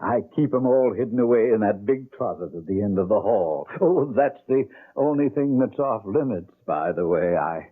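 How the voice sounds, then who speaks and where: Sinister, effusive genteel landlord, swooping voice. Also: male voice, fairly low pitch, lively intonation, slightly rough. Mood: sinister relish. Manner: fussy, effusive, theatrical, hesitant pauses and chuckles. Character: genteel, sinister landlord, devil in disguise, gleefully oversolicitous. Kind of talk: old-time radio mystery acting. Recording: muffled, band-limited old radio drama.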